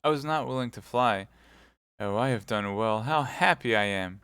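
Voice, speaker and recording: A man's voice: medium pitch, moderate pace, a bit bright, clean tone, no room sound, close to an everyday voice. A man voicing a dying young woman who pleads in breathless, frightened bursts. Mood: tender.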